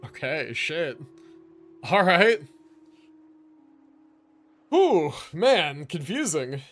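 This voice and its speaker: A man livestreaming a game with casual, slangy commentary, musing out loud and swearing now and then. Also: a little high, sing-song, very slow, faint music behind.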